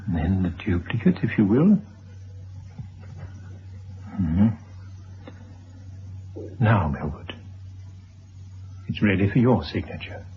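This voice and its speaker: An actor playing a courteous, resolute former schoolmaster in a vintage radio drama, formal and measured. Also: male voice, low voice, sing-song, exaggerated voice. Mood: formal, firm. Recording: dull, faintly noisy old radio recording.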